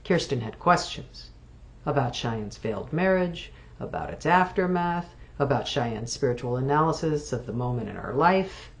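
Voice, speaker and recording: A woman author reading literary fiction aloud, measured and expressive. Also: a bass voice. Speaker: author reading from own novel.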